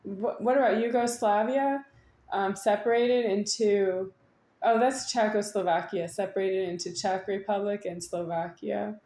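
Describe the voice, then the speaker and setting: A woman giving a rambling, unscripted monologue to an audience, halting at times with drawn-out words and insistent repetition. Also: medium pitch, steady tone, warm tone. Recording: echoey room.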